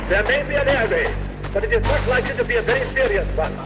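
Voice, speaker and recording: Very high male announcer acted by a man, urgent, commanding, swinging pitch, distant echo, loud music. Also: lively intonation, fast. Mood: stern. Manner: commanding, clipped, repetitive. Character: urgent public air raid announcer. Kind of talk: old public emergency announcement. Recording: distant, echoey archival sound under loud music.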